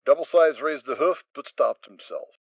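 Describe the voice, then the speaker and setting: A man narrating a dramatic reading of a story, steady and expressive. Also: fairly high pitch, normal speed, gravelly, dry recording, lo-fi sound. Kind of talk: dramatic reading of fan fiction.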